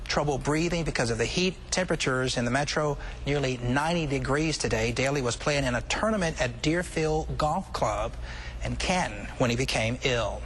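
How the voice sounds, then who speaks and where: A man's voice: mid-range voice, normal speed, a bit bright. A man reading scripted local television news as an anchor. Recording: faint background noise.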